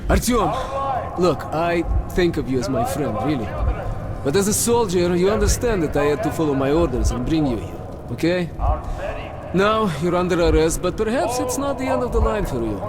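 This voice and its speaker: An actor playing a talkative, falsely friendly military major in a video game, with a Russian accent and expressive, chatty delivery. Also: male voice, sits high, normal speed. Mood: calmly persuasive. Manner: chatty, expressive, peppering speech with foreign exclamations. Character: boisterous, talkative Red Line army major, falsely friendly.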